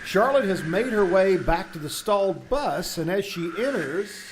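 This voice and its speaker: A man's voice: high voice, lively intonation, moderate pace. A man working as a radio announcer, reading a sponsor message and story narration in 1940s style. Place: small theater stage. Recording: live stage recording, background noise.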